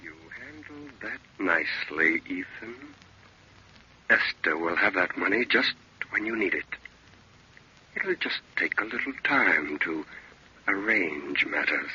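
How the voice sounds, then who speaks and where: Slow, raspy, sinister inner tempter. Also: male voice, deep voice, animated, bright, forceful tone, full character voice. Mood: coolly scheming. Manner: slow, deliberate, insinuating. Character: sinister male second soul, calculating, tempting schemer. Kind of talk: old-time radio drama acting. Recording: dull, lo-fi old radio recording.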